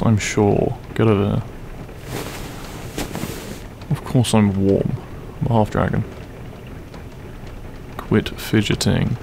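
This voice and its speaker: A grumpy, soft-hearted male half-dragon roommate, acted by a man in an intimate audio roleplay. He talks slowly and haltingly in a sleepy, gruff murmur full of heavy fry. A faint background noise sits behind his voice. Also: on the low side. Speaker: roleplay voice actor. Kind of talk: intimate ASMR audio roleplay, comfort scene.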